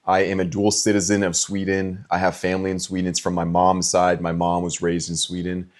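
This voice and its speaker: Deep-voiced young man chatting casually. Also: a little fast. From California, USA. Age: 20s. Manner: relaxed, chatty, frequent ums and likes. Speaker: vlogger answering fan questions. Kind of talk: casual Q&A vlog.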